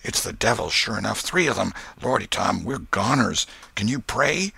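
A man voicing a superstitious country boy in a storybook, hushed with dread and drawling in rustic dialect. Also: lowish voice, animated, moderate pace, vocal fry, breathy edge, no echo, exaggerated voice. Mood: terrified.

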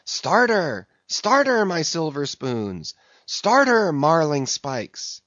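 A male narrator plays a jovial old-time whaleboat mate urging on his oarsmen. The voice is pitched high and swoops widely, coaxing, drawling and mock-scolding by turns. The recording is slightly dull. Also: sing-song, slow, a bit bright, no echo, exaggerated voice. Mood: mock fury. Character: jovial, cajoling whaleboat mate driving his rowing crew. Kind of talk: classic sea novel audiobook character.

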